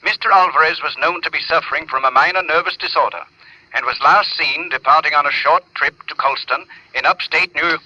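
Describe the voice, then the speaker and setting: An actor playing a formal news announcer heard through a radio filter in an old-time drama. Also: male voice, fairly high pitch, fairly quick, pushed and bright. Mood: formal, grave. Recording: tinny, dull radio broadcast sound.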